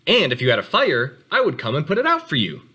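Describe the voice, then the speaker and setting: An eager young turtle boy from a children's storybook, read by a man. He is full of big dreams and his words tumble out with lively swings of pitch. The tone is bright and forward. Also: quick pace, breathy edge, exaggerated voice.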